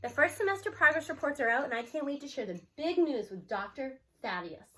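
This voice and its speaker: A woman narrating a storybook aloud in an expressive first-person read-aloud style. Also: sits high, a little fast.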